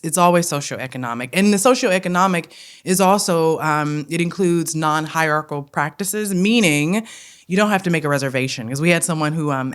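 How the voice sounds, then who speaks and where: A woman's voice: deep voice, clean tone. A woman from Detroit with a Detroit accent, speaking as a guest in a casual interview, earnest and flowing, often checking in with "right?". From Detroit, Michigan. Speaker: guest, theatre company head.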